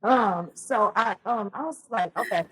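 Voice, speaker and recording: Husky-voiced woman telling stories. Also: mid-range voice, measured pace, rough recording. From Louisiana, US. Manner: chatty, animated storyteller with many ums and restarts. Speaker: podcast guest. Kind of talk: casual storytelling interview.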